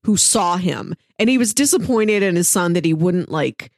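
A woman's voice: fairly low pitch, expressive, normal speed, no room sound, clean studio sound. A woman co-hosting a podcast, talking in a casual, analytical way. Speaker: podcast co-host.

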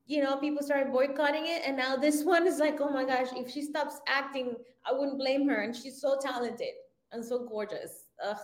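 High-voiced woman in a roomy echo. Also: normal speed. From Venezuela. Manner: animated, laughs often, enthusiastic. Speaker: guest, filmmaker and producer. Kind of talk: casual conversational interview. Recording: roomy echoey sound.

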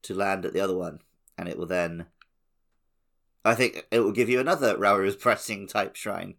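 A man's voice: deep voice, moderate pace, vocal fry, fairly bright tone. A man co-hosting a video game podcast in an informal, slangy, conversational way. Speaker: podcast co-host. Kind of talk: casual video game chat.

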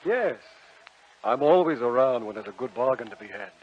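An actor playing a suave devil who trades for souls, with smooth, wheedling sales patter, in old-time radio acting. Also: male voice, mid-range voice, expressive, moderate pace. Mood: sly. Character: suave smiling devil, a soul-trading salesman. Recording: dull old radio broadcast recording, faint hiss.

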